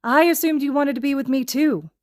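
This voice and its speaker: A woman's voice: sits high, brisk, darkish tone, voice pushed up, close to an everyday voice. A woman voicing a cheerful, earnest, eager young male adventurer in an anime story, bold and guileless. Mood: flustered, earnest. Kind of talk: anime fan fiction character reading.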